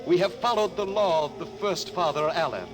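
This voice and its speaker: An actor in a 1950s radio drama playing the solemn last survivor of an ancient microscopic race, speaking slowly like a ritual farewell. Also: male voice, on the high side, lightly acted, poor audio. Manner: slow, grave, chanting like a ritual farewell. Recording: old radio broadcast over music.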